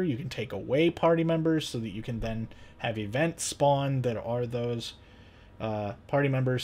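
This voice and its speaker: A young adult man hosting a casual software tutorial, thinking aloud in an informal, playful, rambling way. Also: mid-range voice. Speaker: tutorial host. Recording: clear background noise.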